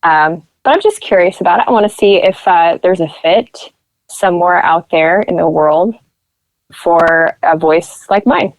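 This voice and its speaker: A woman's voice: on the low side, unhurried, no echo, poor audio. A young woman from Nebraska, a podcast guest, telling stories in casual chat and acting out what people said. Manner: chatty storyteller who acts out quoted voices.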